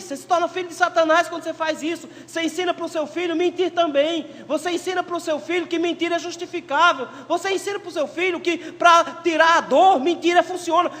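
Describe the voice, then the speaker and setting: A male pastor with a Pernambuco accent delivers a sermon in a high voice. He swings between forceful exhortation and hushed asides. The sound carries the long reverb of a church. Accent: Pernambuco Portuguese. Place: church sanctuary.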